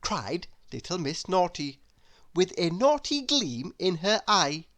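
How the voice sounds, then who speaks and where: A woman reads a children's storybook aloud at a very unhurried pace. Her voice is on the low side, with lively rises and falls through the scripted narration. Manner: measured, expressive read-aloud delivery. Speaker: storybook reader.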